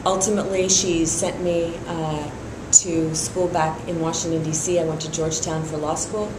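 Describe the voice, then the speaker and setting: Measured middle-aged woman, echoey room. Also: sits low, noisy background. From Iran, raised in San Francisco. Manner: steady, measured, with frequent fillers. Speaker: district attorney candidate. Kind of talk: endorsement interview.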